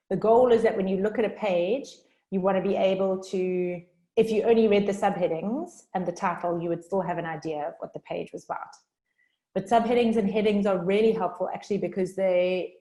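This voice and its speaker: A woman with a South African accent giving a chatty workshop talk on a video call, talking fast and informally. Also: mid-range voice, dark tone. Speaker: workshop speaker. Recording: roomy echo.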